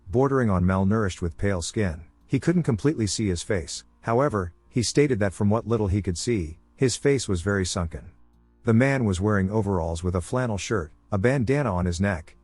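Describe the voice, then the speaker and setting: A man narrating scary first-person stories in a calm, measured storytelling voice. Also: fairly low pitch, no room sound. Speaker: narrator reading listener stories. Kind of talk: scripted horror story narration.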